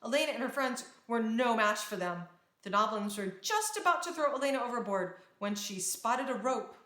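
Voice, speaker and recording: A woman reading a children's storybook aloud in a dramatic, expressive storytelling manner. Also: a little high, moderate pace. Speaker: storybook reader. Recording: roomy, echoing sound.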